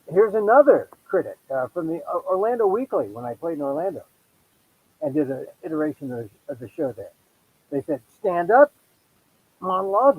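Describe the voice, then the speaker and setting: Husky, lively middle-aged host with a Filipino American accent, chatty solo talk-show monologue. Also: male voice, fairly high pitch, no room sound, rough recording. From United States. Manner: chatty, self-deprecating, quick asides and restarts. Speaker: podcast host. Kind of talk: casual solo monologue promoting his show.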